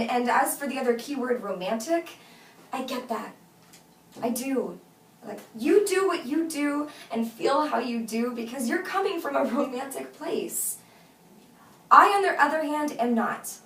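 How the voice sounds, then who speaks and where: An actress playing an exasperated but fond woman gently turning down her best friend, in naturalistic, hesitant film dialogue. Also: high voice, heavily acted. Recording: roomy, distant mic with faint noise.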